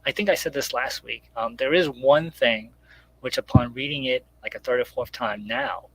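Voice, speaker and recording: A man's voice: mid-range voice, normal speed, fairly bright tone, slightly rough, rough recording. A man speaking casually as an interviewed writer, explaining step by step and acting out imagined remarks as he goes. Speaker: guest comic book writer.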